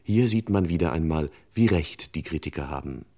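An actor playing the dry, mock-serious narrator of a vintage radio crime serial. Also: male voice, very deep voice, lively intonation, normal speed, warm tone. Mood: dry, mock-solemn. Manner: mock-solemn, ornate phrasing. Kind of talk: scripted radio play narration.

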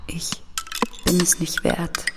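An actress playing a fragile, self-deprecating, lovelorn gentlewoman in a radio drama, speaking haltingly. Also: over music, lightly acted. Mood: forlorn, fragile. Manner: halting, repeating words.

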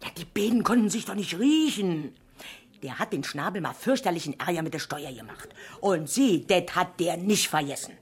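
Low-voiced, agitated gossipy woman. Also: animated, normal speed. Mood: indignant, gossipy. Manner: chatty, insistent, opinionated. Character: agitated, gossipy, resentful woman eyewitness of a crash. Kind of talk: 1970s German radio crime drama acting.

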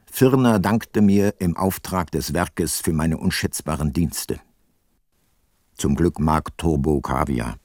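An actor playing a reflective, weary private detective in a radio drama, measured and laconic. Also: male voice, a bass voice, darkish tone, no echo, studio quality, full character voice. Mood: reflective, wry. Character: weary, reflective male private detective narrating his case. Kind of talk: scripted narration in a radio drama.

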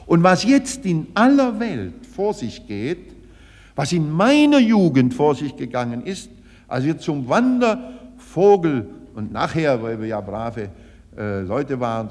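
A man's voice: mid-range voice, big pitch swings, measured pace, darkish tone. An older man giving an emphatic, oratorical speech with rhetorical tags and repetitions. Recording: archive recording with long hall reverb.

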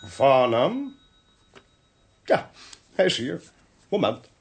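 A man's voice: mid-range voice, sing-song, extremely slow, exaggerated voice. An actor playing an imperious, self-important man in a radio crime drama, clipped and smugly polite in his commands.

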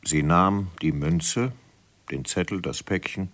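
Casting: male narrator, extremely low voice, measured literary storyteller for vintage radio drama. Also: a bit bright. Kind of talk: scripted narration of a 1970s radio play.

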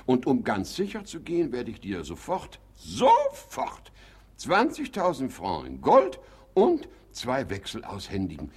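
Husky, gullible doting husband. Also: male voice, medium pitch, huge pitch swings, unhurried, heavily acted. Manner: theatrical, effusively sentimental. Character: doting, gullible, ailing wealthy husband making his will. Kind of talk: German radio comedy acting of a classic play.

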